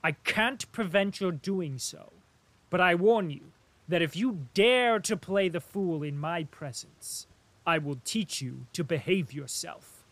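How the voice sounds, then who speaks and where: A proud young officer, played by a man reading a novel aloud, speaking sharply with indignant force. His voice sits a little above the reader's own, louder and clipped, with a slight tremor of anger in it. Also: sits high, expressive, slow pace, dry recording, full character voice, rough recording. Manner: sharp, indignant, trembling jaw. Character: stern proud young male officer, angry.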